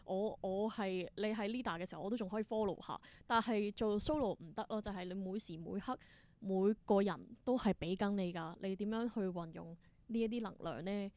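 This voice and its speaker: A woman's voice: medium pitch, brisk, darkish tone, no echo. A woman with a Hong Kong Cantonese accent answering as a theatre performer in an interview, explaining things conversationally and dropping in English terms.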